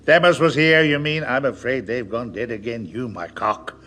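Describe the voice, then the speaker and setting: A man's voice: mid-range voice, normal speed, pushed and bright, close to an everyday voice. A man playing a wily old pirate captain in an audio adventure, mock-friendly and menacing, talking in a sly rustic seafaring dialect. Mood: mock-friendly, needling.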